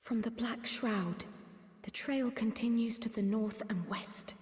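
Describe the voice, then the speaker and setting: A woman playing a learned, gentle mystic in a fantasy game, speaking formally and with measured, articulate phrasing. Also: fairly high pitch, close to an everyday voice. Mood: focused. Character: learned, gentle female mystic who hears souls. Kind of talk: scripted fantasy game acting. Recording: echoey hall reverb.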